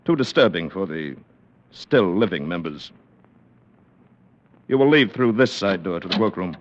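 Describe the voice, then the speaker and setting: An actor playing a sinister club president with measured, menacing courtesy, in 1940s radio drama style. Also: male voice, sits low, animated. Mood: coldly menacing. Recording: muffled, noisy old radio recording.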